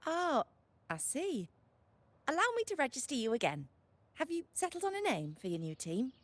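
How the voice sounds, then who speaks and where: Game NPC: formal, courteous female receptionist, highish register, melodic delivery, very dry recording. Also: sing-song, moderate pace, dry recording. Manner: courteous, official. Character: polite, formal female arena registration clerk. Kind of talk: video game voice acting.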